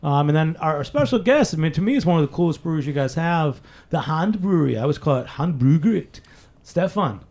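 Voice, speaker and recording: A man's voice: a little high, expressive, fast, hardly any pauses, a little mellow. A man hosting a beer talk radio show, loose and playful, often stopping to restart his sentences.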